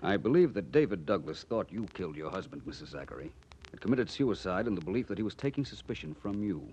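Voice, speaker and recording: An actor playing a suave, self-assured male detective who explains things politely and deliberately in 1940s radio drama style. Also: deep voice, big pitch swings, darkish tone. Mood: probing. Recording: muffled, noisy 1940s radio recording.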